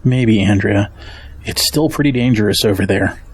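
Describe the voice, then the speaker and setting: A man's voice: fairly low pitch, normal speed, husky. An actor playing a weary, practical family man with a wary, down-to-earth manner in an audio drama. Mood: worried.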